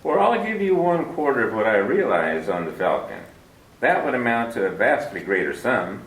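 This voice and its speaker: Genteel schemer, purring menace, lively rise and fall, roomy old broadcast sound. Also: male voice, on the low side, moderate pace. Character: smooth, scheming older male villain, fat man. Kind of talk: 1940s radio acting.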